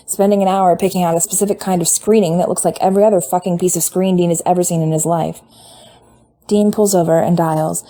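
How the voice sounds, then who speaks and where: A woman reading fan fiction aloud in a measured, intimate storytelling manner. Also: lowish voice, mellow. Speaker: podfic reader. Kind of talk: fan fiction audiobook narration.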